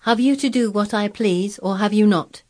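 A woman's voice: medium pitch, expressive, a little fast, darkish tone, voice pushed up, lightly acted. A woman voicing a spoiled, sickly boy of a manor in storybook dialogue, grand and demanding. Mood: grandly imperious. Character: imperious, spoiled, sickly ten-year-old boy of a manor.

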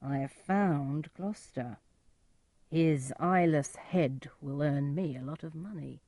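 A woman voicing a greedy villainous manservant, measured and menacing, in a storybook reading. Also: low voice, warm tone, no room sound, heavily acted. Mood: gloating. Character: greedy villainous male servant with a sword.

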